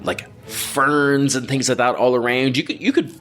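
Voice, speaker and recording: A man narrating a tabletop role-playing game as game master, improvising descriptions in a halting, filler-heavy way. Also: mid-range voice, fairly even intonation, a bit bright. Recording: background music under the voice.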